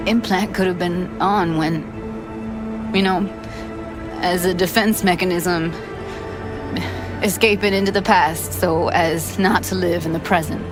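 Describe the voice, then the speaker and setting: An actress playing a grieving, guarded young tech expert in a video game, with slangy, clipped, weary phrasing. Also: female voice, lowish voice, steady tone, measured pace, pauses often, lightly acted. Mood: somber, bitter. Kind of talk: naturalistic video game acting. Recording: game dialogue under clear background music.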